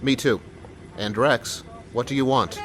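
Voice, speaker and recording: A man playing a calm, sincere and determined police sergeant in an audio drama. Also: medium pitch, a little slow, lightly acted. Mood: calm, persuasive.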